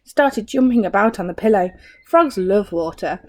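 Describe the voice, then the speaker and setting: A woman's voice: medium pitch, expressive, normal speed. A woman reading a children's story aloud like a teacher, expressively, with asides and questions to young listeners.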